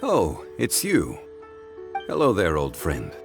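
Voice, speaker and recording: A man playing a calm, refined, reflective gentleman in a video game cutscene, speaking with formal, poetic composure. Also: low-pitched, takes it slowly, creaky, over music, exaggerated voice. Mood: calmly cordial.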